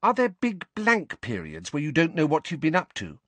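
Audiobook girl, acted by a man: young teen, blunt, unabashed, matter-of-fact, pitched well up, lively intonation. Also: moderate pace, dry recording, heavily acted. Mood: cool, simple.

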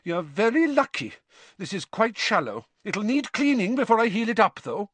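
A man's voice: medium pitch, very animated, a little fast, a bit bright, breathy edge, dry recording. A man voicing a fussy, scolding older female school nurse who talks furiously as she works. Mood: indignant. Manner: scolding, fussing.

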